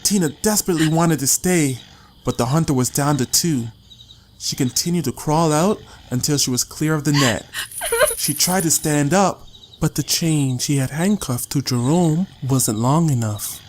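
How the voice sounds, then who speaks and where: A man's voice: a little high, normal speed. Man narrating a dramatic story, faint noise. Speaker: audiobook narrator.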